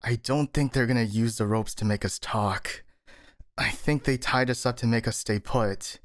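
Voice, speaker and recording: A man's voice: mid-range voice, moderate pace, dry recording, clean studio sound, close to an everyday voice. A man playing a skeptical, worrying captured master ninja, sighing and hesitant, in a scripted ASMR audio roleplay. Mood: exasperated.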